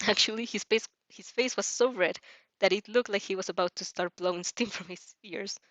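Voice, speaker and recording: A woman narrating fan fiction as an amateur, excitable and giggly, stumbling over words and reacting as she goes. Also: medium pitch, moderate pace, dry recording.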